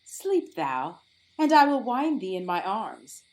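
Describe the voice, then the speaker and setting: Woman playing a lovestruck fairy queen, slow and cooing, really swoopy melodic verse, audio play. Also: medium pitch, very animated, low-quality recording. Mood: doting. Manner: tender, lyrical, cooing. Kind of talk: Shakespearean verse audio drama.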